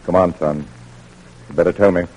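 An actor in an old-time radio drama playing an older general, measured and fatherly, pressing with coaxing authority. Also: low-pitched, steady tone, darkish tone, rough recording. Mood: grave, coaxing. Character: fatherly, persistent older Union general questioning a prisoner.

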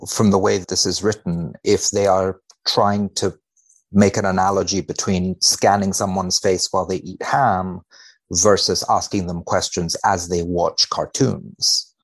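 A man speaking as a podcast guest in a measured, deadpan way with dry humour, both chatting and reading research aloud. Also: low voice, husky. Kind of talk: wry conversation and dramatic reading of a research paper.

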